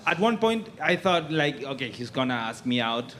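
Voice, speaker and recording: A man from Argentina doing a stand-up set on a comedy club stage, with a halting delivery full of fillers. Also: medium pitch, sing-song, a bit bright, a little hiss or hum.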